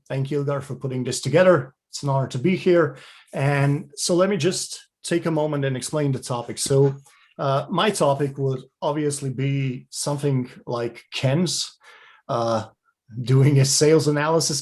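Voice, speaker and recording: A man's voice: medium pitch, a little mellow. Chatty man from Slovenia presenting. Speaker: guest speaker. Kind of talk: presenting a webinar. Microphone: video call.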